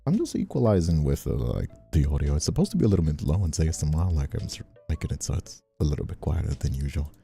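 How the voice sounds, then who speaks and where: Guy chatting on stream, really low voice that swings around a lot, rambly and kinda fed up. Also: big pitch swings, fast, vocal fry, hardly any pauses, warm tone, faint music behind. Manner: rambling, exasperated venting with heavy repetition. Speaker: streamer and host. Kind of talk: casual stream chat.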